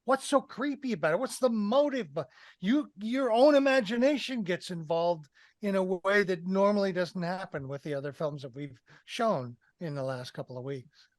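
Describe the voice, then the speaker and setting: High-pitched older man hosting a discussion. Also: animated, normal speed, no room sound. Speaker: podcast host. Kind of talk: film review discussion. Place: indoor room on a video call. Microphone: headset.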